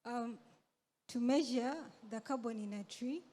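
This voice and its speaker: A woman presenting on a conference stage, explaining a process in a measured, step-by-step way. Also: on the high side, warm tone, long reverb tail.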